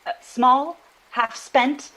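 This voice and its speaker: A halting, high-voiced troubled dreamer. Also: female voice, steady tone, fairly bright tone, heavily acted, low-quality recording. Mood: earnest, recalling. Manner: halting, searching for words, repeats herself. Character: troubled woman earnestly recounting an eerie dream. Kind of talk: audio drama acting, naturalistic interview.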